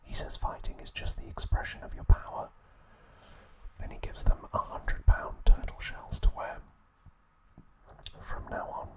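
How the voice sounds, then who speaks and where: Man, breathy whisper, ASMR, scripted narration, measured and soothing. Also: low-quality recording. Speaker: ASMR channel host.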